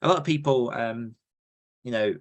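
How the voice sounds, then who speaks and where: A man's voice: mid-range voice, normal speed. A man hosting a podcast in a casual, rambling and enthusiastic chatty style. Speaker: podcast host.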